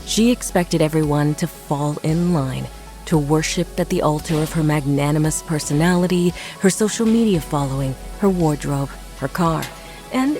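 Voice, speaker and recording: A woman giving a scripted narration of a horror audio drama. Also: deep voice. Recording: music and crowd noise behind narration. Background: music, crowd sounds.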